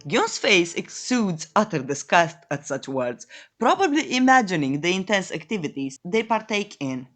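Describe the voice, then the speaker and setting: Animated woman narrator with swooping pitch. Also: normal speed. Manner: playful, tongue-in-cheek, theatrical storytelling with flowery euphemisms. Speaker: recap narrator. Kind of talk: scripted comedic story recap narration. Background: background music.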